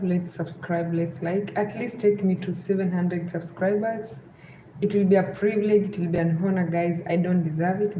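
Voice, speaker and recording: A woman's voice: on the low side, dark tone. A young woman from Kenya with a Western Kenyan accent, hosting a casual livestream and repeating pleas to like and subscribe. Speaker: livestream host. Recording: echoey room with background noise.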